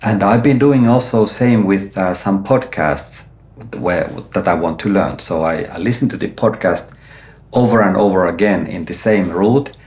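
A man's voice: low voice, darkish tone. A middle-aged man hosting an interview, talking hesitantly with many fillers and restarts, speaking English as a non-native. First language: not Swedish; Finnish-sounding name, origin unstated. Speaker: podcast host. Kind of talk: conversational interview. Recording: roomy, echoey sound.

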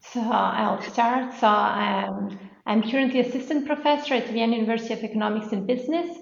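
A woman from Bosnia, speaking as a guest professor on an interview podcast. She tells her story in a steady, detailed way, her voice sitting a little high. The audio sounds roomy and dull, like a modest lo-fi setup. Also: a little fast, vocal fry.

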